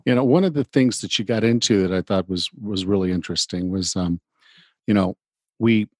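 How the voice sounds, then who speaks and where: A middle-aged man hosting a podcast who talks haltingly and repeats words as he searches for the right phrasing. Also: fairly low pitch, darkish tone, dry recording. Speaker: podcast host. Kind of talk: casual interview talk.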